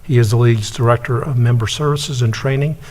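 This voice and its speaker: A man serving as mayor, chairing a city council meeting and giving formal remarks in a measured way, with fillers and pauses. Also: mid-range voice, steady tone, darkish tone.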